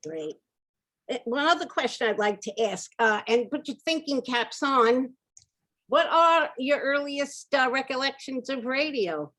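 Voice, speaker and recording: A woman with a fairly high voice moderates a talk-back. She speaks off the cuff, addressing a group and passing questions along in a conversational way. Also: expressive, measured pace, a bit bright. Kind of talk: unscripted panel moderating.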